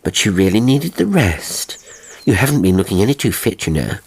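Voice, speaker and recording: A man's voice: lowish voice, sing-song, moderate pace, gravelly, darkish tone, husky, full character voice. A man playing a young English woman, light and coaxing, with a British accent. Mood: concerned, coaxing.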